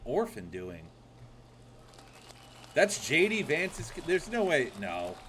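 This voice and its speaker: This man talks loud and profane, mocking everything he hears, and his pitch leaps around all over the place. It sounds like a home streaming setup on a desk mic, with a faint hiss under his voice. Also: huge pitch swings, takes it slowly. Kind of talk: crude live reaction commentary.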